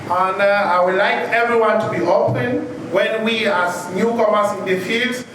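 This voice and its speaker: A man from Cameroon speaks earnestly to a gathering, thanking people between long anecdotes. His voice sits high. It is picked up from a distance in a very reverberant, noisy room. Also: moderate pace. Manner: earnest, grateful, rambling anecdotes. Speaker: safety professional giving a speech. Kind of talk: speech to a gathering. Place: large echoey event space. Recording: echoey far-off room recording, noisy.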